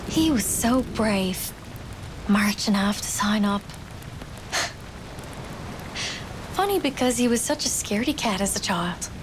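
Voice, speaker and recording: A woman's voice: fairly high pitch, background noise, natural voice. A woman playing a grieving Newfoundland wartime nurse. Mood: sorrowful. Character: grieving wartime nurse, sister of a fallen soldier. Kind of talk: dramatic game cutscene storytelling.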